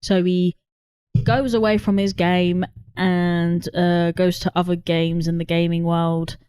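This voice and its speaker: A woman's voice: fairly low pitch, flat delivery, slow pace, a little mellow, smooth, dry recording, clean studio sound. A woman co-hosting a podcast, chatting casually about films in an informal, sweary way. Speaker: podcast co-host. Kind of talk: casual film discussion.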